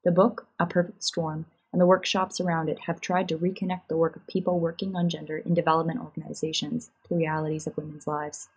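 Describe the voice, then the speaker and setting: A woman's voice: sits low, flat delivery, fast, hardly any pauses, husky, poor audio. A woman academic reading a prepared first-person narration about her work. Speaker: academic in gender and development.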